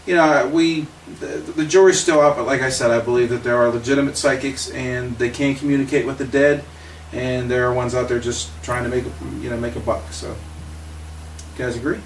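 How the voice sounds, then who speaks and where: A man's voice: mid-range voice, fairly even intonation, normal speed. Echoey, distant American man in his forties. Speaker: paranormal investigator. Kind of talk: casual spoken self-introduction to camera. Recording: echoey room, distant mic, faint noise.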